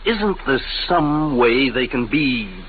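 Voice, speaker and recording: A man's voice: medium pitch, sing-song, slow, phone-quality sound. An actor playing a beleaguered, sardonic family man and failed salesman in a 1950s radio drama. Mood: bitter. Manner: sardonic, exasperated. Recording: thin, noisy 1950 radio broadcast.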